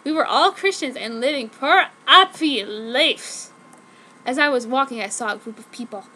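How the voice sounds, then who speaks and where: High-voiced woman narrating with mock drama. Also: moderate pace, sharp tone. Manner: theatrical. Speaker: fanfiction reader.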